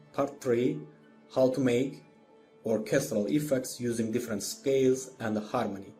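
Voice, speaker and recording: A man's voice: mid-range voice, warm tone. A man with an Azerbaijani accent narrates a scripted lecture as a teacher, methodically, in careful short phrases. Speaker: orchestration teacher.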